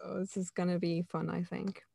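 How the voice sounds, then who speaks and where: A woman's voice: fairly low pitch, level tone, fairly quick, creaky, warm tone, husky, no room sound, studio quality. A woman hosting an interview in a conversational way, with informal, tentative phrasing. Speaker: podcast host, interviewer.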